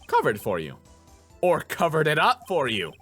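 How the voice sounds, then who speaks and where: A man voicing an earnest, excitable young male defense lawyer in a video game, emphatic and challenging, with music behind. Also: fairly high pitch, normal speed, full character voice.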